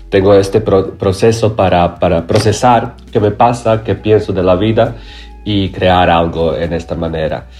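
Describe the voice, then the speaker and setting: A man from Croatia, a playwright, speaking haltingly in an as an interview guest, often searching for words. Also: low-pitched, mellow. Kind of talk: interview conversation.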